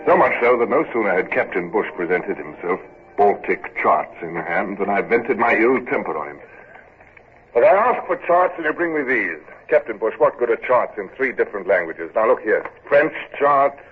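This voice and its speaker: An actor playing a brooding, irritable naval commander, narrating and speaking in a clipped, cultured manner in an old radio drama. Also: male voice, lowish voice, big pitch swings, moderate pace, a little hiss or hum. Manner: clipped, impatient, cultured English delivery. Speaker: narrator and lead. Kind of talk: 1940s radio drama acting. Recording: tinny old radio broadcast.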